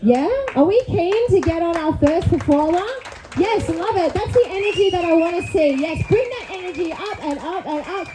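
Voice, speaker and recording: A woman's voice: very high-pitched, expressive, normal speed, noisy background. A woman hosting a live comedy show from a club stage on a handheld mic, chatty and upbeat, often tagging lines with "okay?". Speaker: host. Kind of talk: upbeat live emcee patter.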